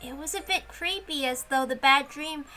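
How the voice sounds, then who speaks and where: Dazed young girl narrator for a visual novel voiced by a woman: high, lonely, simple and wistful delivery. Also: moderate pace, pushed and bright, soft background music. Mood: uneasy.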